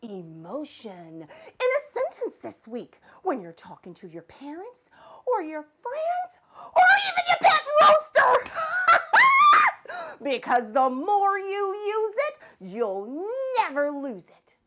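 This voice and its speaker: Kids' educational show: over-the-top, excitable female word teacher, giggly, exclamatory, very high and animated. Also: slow, raised voice, background noise, heavily acted. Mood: playful. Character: zany, excitable woman vocabulary teacher for children. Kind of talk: children's educational show segment.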